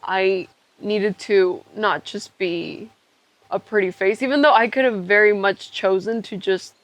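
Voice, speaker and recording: A woman's voice: mid-range voice, measured pace, no echo. A young woman from Ecuador talking candidly and reflectively on a podcast, thinking aloud with trailing asides. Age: young adult. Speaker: podcast co-host telling their own story.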